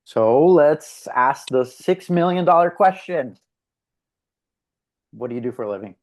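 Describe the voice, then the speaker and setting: A woman's voice: sits low, lively intonation, unhurried, darkish tone. A woman acting a curious, direct date in a casual, conversational screenplay read. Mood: probing. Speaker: actor at a live script read.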